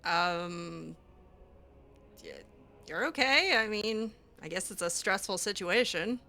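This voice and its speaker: A woman's voice: mid-range voice, expressive, slow, pushed and bright. A woman doing casual improvised roleplay while playing a video game, hesitant, awkward and drily reluctant. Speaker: video game player roleplaying her character.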